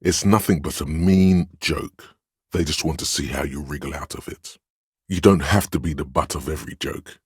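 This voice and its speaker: An actor playing a commanding, domineering inner voice of authority in a video game, speaking with a very deep, resonant bass in a measured, imperious manner. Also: male voice, slightly rough, no echo, studio quality, full character voice. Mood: stern, scolding. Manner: imperious, measured, scolding and goading. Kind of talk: dramatic game narration, inner-voice lines.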